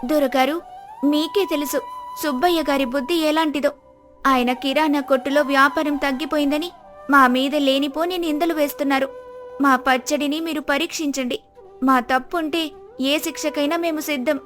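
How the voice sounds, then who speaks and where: A woman's voice: sits high, fairly even intonation, moderate pace, a bit bright, soft background music. A woman voicing a brave, outspoken young daughter-in-law in a moral story, with a firm, defiant manner. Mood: earnest.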